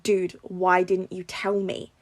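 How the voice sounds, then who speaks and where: A woman's voice: on the low side, completely flat delivery, takes it slowly. Woman reading as frank teenage best friend boy. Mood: teasing. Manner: casual, earnest, insistent.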